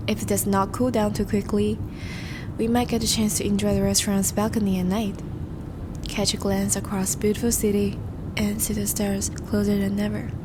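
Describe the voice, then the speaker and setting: A woman playing a charming, courtly vampire suitor in a romantic audio roleplay, speaking formally and gently to the listener. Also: medium pitch, background noise, natural voice. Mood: charmed, courting. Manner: formal, courtly, gentle phrasing with soft sighs.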